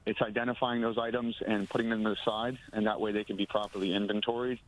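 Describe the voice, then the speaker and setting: A man, a moving company owner, speaking on a phone interview, halting with many ums and restarts. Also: on the low side, level tone. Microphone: phone line. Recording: phone line audio.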